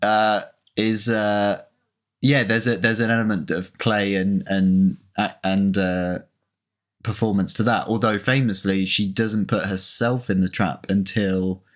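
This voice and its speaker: A man with a fairly low voice co-hosts a film commentary. His delivery is casual and conversational, with wry asides dropped into the discussion. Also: steady tone, a little slow. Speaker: podcast co-host.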